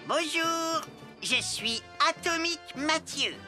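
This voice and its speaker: A woman's voice: high-pitched, lively intonation, takes it slowly, bright, forceful tone, faint music behind, exaggerated voice. A woman voicing a cautious, sensible young boy with an earnest manner in a dubbed cartoon.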